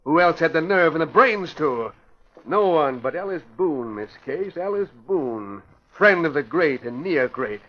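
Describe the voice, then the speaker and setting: Vintage radio crime boss: high, swooping voice, pompous and easily rattled, dull old recording. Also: male voice, expressive, unhurried. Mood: boastful. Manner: grandiose, pompous boasting. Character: haughty, panicky male criminal mastermind boss. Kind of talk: classic radio drama acting.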